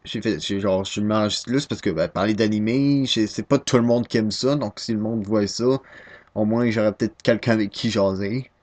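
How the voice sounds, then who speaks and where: Rambling young Québécois man, lowish voice. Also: moderate pace, darkish tone. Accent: Québécois French. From Quebec, Canada. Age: 19, young adult. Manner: self-deprecating, hesitant, many restarts. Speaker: first-time vlogger. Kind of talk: casual rambling webcam talk. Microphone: webcam.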